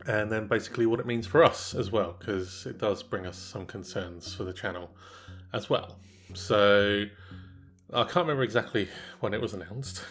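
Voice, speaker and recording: A man's voice: lowish voice, fairly bright tone. A young man co-hosting a casual gaming chat, nasal from a coming cold, rambling with lots of fillers. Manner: rambling, filler-heavy, disappointed. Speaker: gaming channel co-host. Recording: faint background music.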